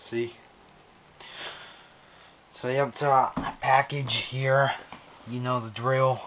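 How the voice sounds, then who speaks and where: A man casually narrating a hands-on unboxing video in halting, pieced-together phrases, recorded on an action camera. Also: mid-range voice, little pitch movement, a bit bright, a little hiss or hum. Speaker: vlogger unboxing a weather radio. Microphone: action camera on head strap, later monopod.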